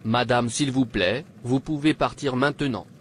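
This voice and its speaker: Man acting cartoon king, fervent convert, reverent, earnest, medium-pitched voice, faint noise. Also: moderate pace, fairly bright tone, natural voice. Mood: joyful, resolute. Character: pagan king, a meek and fervent new convert. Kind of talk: scripted cartoon dialogue.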